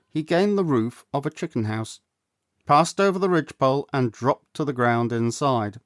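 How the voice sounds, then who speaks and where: A man's voice: mid-range voice, dry recording. Male storyteller reading in a dry, close sound. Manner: theatrical, measured storytelling delivery. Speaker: audiobook reader, actor. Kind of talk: expressive storybook narration.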